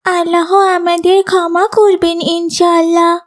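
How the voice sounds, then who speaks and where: A woman's voice: very high-pitched, little pitch movement, unhurried. A woman voicing an earnest, devout and eager little girl in a children's moral cartoon. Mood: cheerful, devout. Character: eager, devout little girl keeping her first fast.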